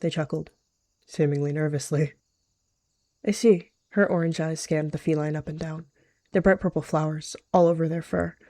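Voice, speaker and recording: A woman's voice: deep voice, level tone, fairly quick, a little mellow, no echo, clean studio sound. A woman narrating character actions in third person during a roleplay game.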